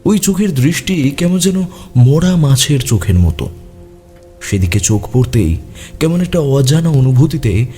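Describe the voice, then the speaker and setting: A man plays a young storyteller spinning a grim ghost tale for an audio drama. His voice is dark and mellow, with lively intonation over music. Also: medium pitch, measured pace, natural voice. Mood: ominous, suspenseful. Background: background music.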